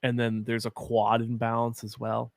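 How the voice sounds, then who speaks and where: A young man with a deep, gravelly voice hosts a live watch party, talking loosely and sharing opinions. His speech is full of fillers. The sound is close and dry, like a desk mic in a small room at home. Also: completely flat delivery, normal speed, no room sound. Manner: chatty, opinionated, frequent fillers. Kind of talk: casual live-stream commentary.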